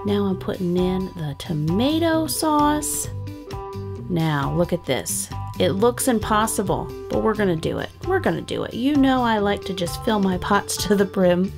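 Middle-aged woman, family vlog voice-over, upbeat and chatty, slight vocal fry, guitar music bed. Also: mid-range voice, big pitch swings, a little slow. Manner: chatty and upbeat, with frequent laughs. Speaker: family vlogger. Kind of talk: cozy voice-over narration of a cooking and cleaning vlog. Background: guitar background music.

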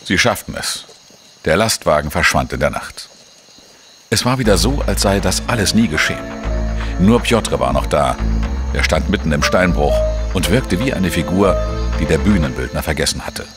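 World-weary male investigator, very low pitch, measured, sombre, crime audio drama, background music. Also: animated. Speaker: narrator and lead actor. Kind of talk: crime radio drama narration and dialogue. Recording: music behind the voice.

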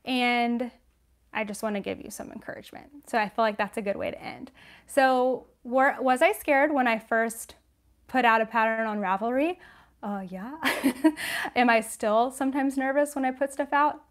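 Young woman chatting on a livestream, kinda high voice, sniffly, lots of ums and likes, lapel mic. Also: normal speed. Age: young adult. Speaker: knitting channel host. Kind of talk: casual livestream Q&A. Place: small room at home.

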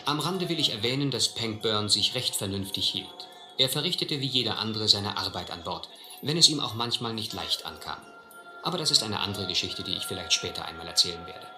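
Man acting a stern, low-voiced sea captain. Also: expressive, a little fast, reverberant, music in the background, natural voice. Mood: grave, narrating. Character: stern, determined sea captain narrating his adventure. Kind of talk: German audio adventure drama.

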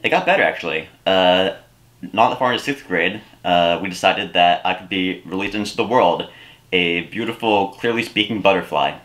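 A young man with a deep yet bright voice delivers scripted comedy in a deadpan, rambling way. He is recorded on a camera mic indoors in a small room. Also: moderate pace. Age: young adult. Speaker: comedy YouTuber.

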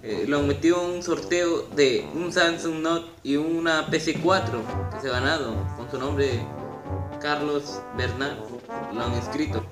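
A teenage male, speaking politely and haltingly, as if on a phone call. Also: a little high, over music. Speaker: YouTuber making a prank call. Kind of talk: improvised prank phone call, posing as a prize agent. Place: small room at home, indoors. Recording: roomy echo.

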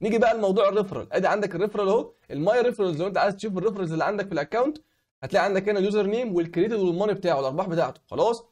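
A young Egyptian man with an Egyptian Arabic accent and a voice hoarse from a cold walks through a website in a screen-recorded tutorial. He keeps checking in with the viewer, asking "okay?". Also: high-pitched, rapid-fire, a little mellow. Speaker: online tutorial host.

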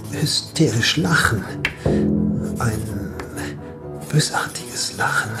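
Haunted eyewitness, agonisingly slow. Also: male voice, medium pitch, gravelly. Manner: halting, dread-filled, repeating phrases, sometimes whispering. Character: horror-stricken, haunted male eyewitness recounting a nightmare. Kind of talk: German horror radio drama acting.

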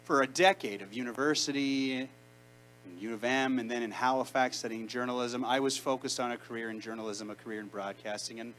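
Young canadian guy rabbi giving a sermon, mid-pitched, chatty but emphatic, faint hum in a big room. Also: fairly even intonation, fairly bright tone, rough recording. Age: around 30. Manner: conversational, emphatic preaching with deliberate pauses. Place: large synagogue sanctuary. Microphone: handheld mic, far camera.

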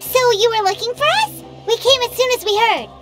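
A woman voicing a tiny, childlike fairy companion in a video game, squeaky, blunt and skeptical. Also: expressive, a little fast. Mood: eager, curious. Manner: speaks of herself in third person, blunt. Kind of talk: anime-style video game acting. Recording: game music underneath.

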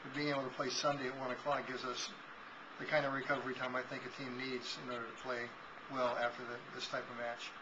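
A man in his sixties, a volleyball head coach giving a measured postgame interview with frequent restarts, recorded on a webcam in a small office. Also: medium pitch, monotone. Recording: dull lo-fi with noise.

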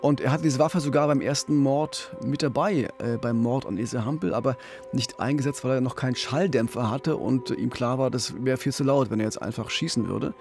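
A man's voice: medium pitch, brisk, a little mellow, faint music behind. A middle-aged man co-hosting a true-crime podcast, telling a case conversationally into a studio microphone. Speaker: podcast co-host, crime reporter. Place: small radio studio. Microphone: studio microphone with pop filter, headphones.

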